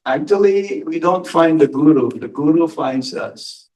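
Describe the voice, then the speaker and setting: A man speaks as a monk in a question-and-answer lecture, slow and deliberate, stressing his key words. His voice sits at a middle pitch and is caught by a distant mic in a hall. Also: warm tone. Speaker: monk giving a spiritual talk.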